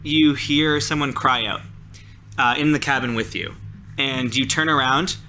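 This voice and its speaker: A man's voice: medium pitch, steady tone, a little slow, bright, forceful tone, a little hiss or hum. A man improvising horror storytelling in a tabletop game, descriptive and a little hesitant. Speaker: player narrating in a tabletop game. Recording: music behind the voice.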